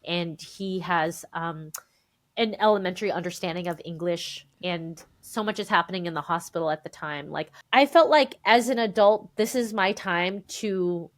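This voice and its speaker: A woman in her thirties, a podcast guest, telling family stories in an animated, chatty way and acting out the dialogue. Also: on the low side, moderate pace. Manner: animated, acts out quoted dialogue, frequent "like". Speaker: podcast guest, memoir author. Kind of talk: conversational storytelling interview.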